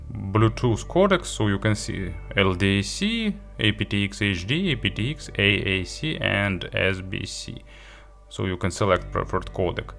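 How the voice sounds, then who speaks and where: A man giving a product review walkthrough, halting a little as he lists features item by item. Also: sits low, gravelly, a bit bright. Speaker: tech reviewer demonstrating an audio player.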